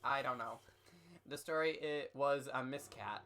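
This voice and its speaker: A man's voice: medium pitch, unhurried, a crowd in the background. A man doing a comedic dramatic reading with casual commentary, mock-serious and tripping over words. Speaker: streamer hosting a dramatic reading.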